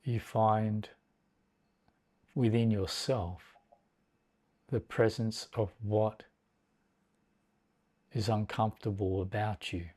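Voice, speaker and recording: A man leading a guided meditation as a teacher, speaking in a measured, deliberate way with long pauses between short phrases. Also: fairly low pitch, steady tone, darkish tone.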